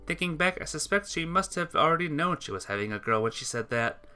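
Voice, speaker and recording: A bright, forward voice narrates a sentimental story in earnest. The reading trips slightly now and then, and music plays behind it. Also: mid-range voice, lively intonation, fairly quick. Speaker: visual novel player reading the text aloud.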